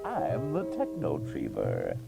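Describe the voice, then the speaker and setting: A man playing a folksy, showy animatronic dog who presents like a theatrical cartoon host, with loud guitar music behind him. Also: very deep voice, wildly swooping pitch, slow, gravelly, full character voice. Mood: showy, jovial. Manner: showy, exaggerated swoops.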